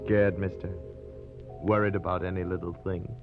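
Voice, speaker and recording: Actor as a low-voiced, menacing American gangster. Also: male voice, level tone, music in the background, natural voice. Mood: sadistic relish. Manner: taunting, slangy, unhurried menace. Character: menacing bald gangster killer, cold, taunting, sadistic. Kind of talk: 1940s radio crime drama acting. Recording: dull, muffled vintage 1940s radio recording.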